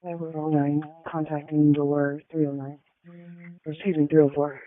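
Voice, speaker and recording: A woman's voice: sits low, level tone, normal speed, dry recording. A woman police officer making brief check-ins and requests over a police radio. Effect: police radio transmission. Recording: thin phone-like police scanner radio.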